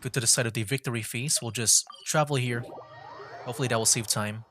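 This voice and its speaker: A man's voice: medium pitch, fairly even intonation, fast talker, fairly bright tone, slight background noise. A man giving casual livestream game commentary, hesitantly thinking aloud as he goes. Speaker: game streamer. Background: game music.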